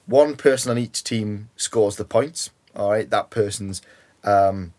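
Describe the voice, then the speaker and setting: A man's voice: lowish voice, measured pace, a little mellow. A young man from Newcastle with a Geordie accent, chatting casually as an interview guest and filling his talk with ums and "you know"s. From Newcastle, England. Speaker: guest, ambulance worker.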